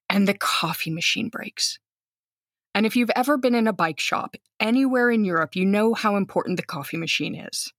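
A woman's voice: on the low side, no room sound, studio quality. An American woman in her thirties, an outgoing teacher telling a story in casual conversation and acting out the voices of the people in it. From United States. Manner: animated, outgoing storyteller who acts out quoted lines. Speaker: guest, teacher.

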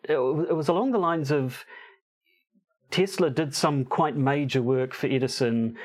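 A man co-hosting a casual podcast, talking in a wry, halting way with frequent restarts. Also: medium pitch. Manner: hesitant, many fillers and restarts, wry. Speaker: podcast co-host. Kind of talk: casual chat.

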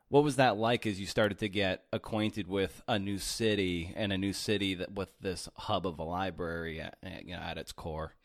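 A man's voice: fairly low pitch, no echo, clean studio sound. A man hosting a podcast interview, asking questions in an appreciative way with frequent fillers. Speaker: podcast host.

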